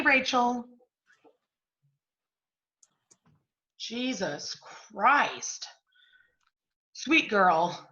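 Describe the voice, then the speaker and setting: An actress playing a brash, hard-drinking, sardonic literary agent in a stage play. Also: female voice, mid-range voice, pushed and bright, dull audio. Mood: sardonic, exasperated. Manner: sardonic, blunt, profane.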